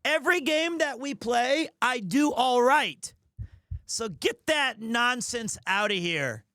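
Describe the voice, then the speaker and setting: A man's voice: very high-pitched, expressive, takes it slowly, bright, forceful tone, dry recording, studio quality. A man hosting a livestream, chatting casually in a rambling, opinionated and playfully defensive way. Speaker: live streamer, host of the show. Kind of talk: casual livestream chat with viewers.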